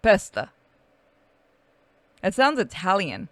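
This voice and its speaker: A man's voice: sits high, huge pitch swings, slow, a bit bright, no room sound. A man playing a video game and commenting casually with friends, informal and prone to swearing. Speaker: gamer playing on a stream. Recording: game music behind the voice. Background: video game music and sound effects.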